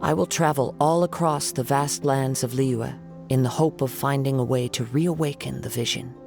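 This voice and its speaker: An actor voicing a calm, poetic young wandering samurai in a video game, speaking in a measured, reflective way. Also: male voice, medium pitch, vocal fry, natural voice. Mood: composed. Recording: game music behind.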